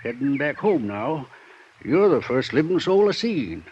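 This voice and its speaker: An actor playing an old, shrewd prospector who has lived alone in the mountains for decades, talking in a drawling rural dialect, in a 1950s radio drama. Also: male voice, medium pitch, very animated, a little slow, darkish tone. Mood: folksy. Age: older. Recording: dull old radio broadcast with faint hiss.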